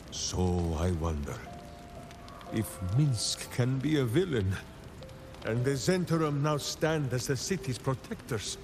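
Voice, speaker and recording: An actor playing a hearty, earnest hulking ranger in a video game, grandly speaking of himself in the third person. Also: male voice, mid-range voice. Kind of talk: theatrical video game dialogue.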